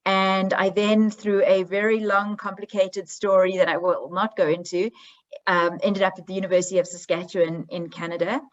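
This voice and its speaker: A middle-aged South African woman speaks over a slightly dull, lo-fi video call. She talks at a middle pitch in a loose, conversational way, pausing on ums and restarting her sentences as she goes. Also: level tone. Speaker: history professor. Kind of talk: online academic presentation. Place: holiday home.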